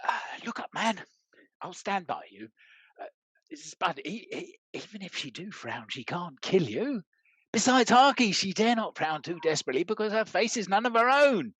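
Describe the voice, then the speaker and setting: A man's voice: high voice, big pitch swings, normal speed, fairly bright tone, husky, no echo, exaggerated voice. A man playing a good-natured, bumbling country knight in a period comedy, stammering and blustering along. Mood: conspiratorial, amused. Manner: hesitant stammering, rustic bluster. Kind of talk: scripted stage comedy acting.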